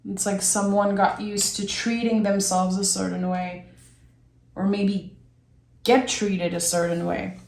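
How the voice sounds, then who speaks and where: A young woman with a raspy voice from being sick, giving a gentle, reflective tarot reading and thinking aloud as she draws cards. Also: mid-range voice, little pitch movement, unhurried, roomy sound. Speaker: tarot reader. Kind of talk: soft, slow tarot reading.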